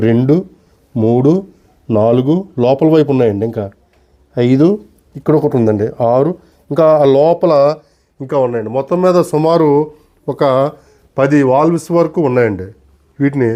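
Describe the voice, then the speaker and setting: Mellow-voiced man explaining on camera. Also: fairly high pitch. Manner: deliberate, speaks in short broken phrases with polite address. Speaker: antique radio collector and presenter. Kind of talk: unscripted demonstration to camera, explanatory.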